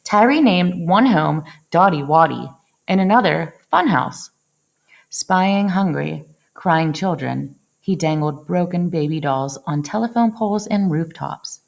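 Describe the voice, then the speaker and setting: Gravelly-edged woman reading a children's story. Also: lowish voice, animated, measured pace, lo-fi sound. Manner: dramatic, acts out exclamations. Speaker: storyteller reading a picture book aloud. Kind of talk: expressive children's read-aloud.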